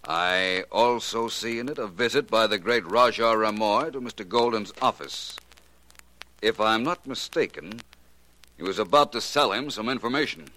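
An actor playing a suave, self-assured private detective in a 1940s radio mystery, speaking with polite, deliberate command. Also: male voice, lowish voice, bright, forceful tone, rough recording. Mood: probing, assured. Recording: vintage 1940s radio recording.

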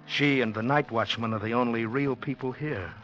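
Man acting bewildered earnest gentleman, sharp medium-pitched voice, hesitant, intense, 1940s radio drama, muffled vintage sound. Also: normal speed, soft background music, close to an everyday voice. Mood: tense, brooding. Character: anxious, earnest gentleman, bewildered newcomer and diarist. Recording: dull old 1940s radio recording.

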